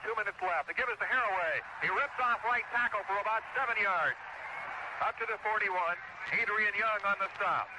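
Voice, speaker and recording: A man with a high voice gives live American football commentary in an American accent. The old radio recording is noisy, with stadium sound behind him. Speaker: play-by-play sports commentator.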